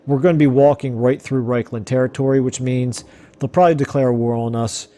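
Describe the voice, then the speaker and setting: A man commentating his strategy game play casually, thinking aloud with fillers, his voice going hoarse. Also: mid-range voice, steady tone, brisk, dark tone. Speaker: let's play host.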